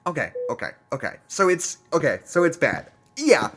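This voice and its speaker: A man's voice: on the high side, very animated, normal speed, a bit bright, a little hiss or hum. A man playing an impatient, agitated leader in an audio drama who rambles as he thinks aloud. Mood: nervous rationalizing. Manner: agitated, talking things through aloud.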